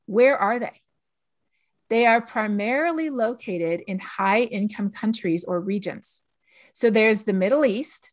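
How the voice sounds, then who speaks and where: A middle-aged woman, an author, talking in a lively way on a video call from a home office, asking rhetorical questions as she explains. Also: a little high, dry recording. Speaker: author and guest.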